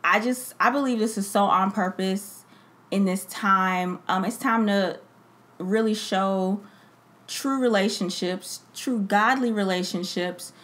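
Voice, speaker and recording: A woman co-hosting a casual podcast with her husband. She talks in an animated way, backs him up often and thanks the listeners. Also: medium pitch, slow. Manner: animated, affirming. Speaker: podcast co-host, expecting mother. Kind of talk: casual chat.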